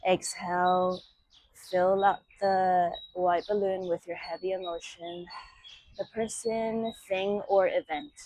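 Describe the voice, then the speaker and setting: A woman teaching yoga, guiding meditation and breathing in a soothing, measured way with long pauses between short phrases. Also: on the low side, flat delivery, darkish tone, a little hiss or hum, poor audio. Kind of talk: guided meditation and breathing instruction.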